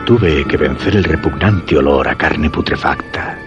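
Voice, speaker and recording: A man's voice: rumbling low, measured pace, highs cut off. An actor from a suspense radio drama playing a terrified husband recounting his ordeal, in a Spanish accent from Spain, over music. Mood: tense dread. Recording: music behind the voice.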